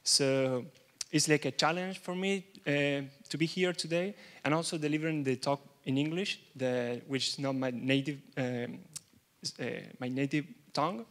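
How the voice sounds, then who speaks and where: A young man from Argentina, a native Spanish speaker with an Argentine accent, giving a nervous, halting conference keynote in a large hall. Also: a little high, fairly bright tone. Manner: nervous, halting, many restarts.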